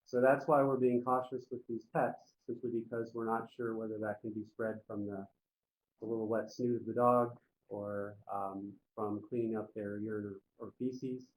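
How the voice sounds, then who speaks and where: A man's voice: fairly low pitch, flat delivery, normal speed, slight background noise, poor audio. A man presenting an informal public health briefing on a conference call, explaining in plain, folksy wording.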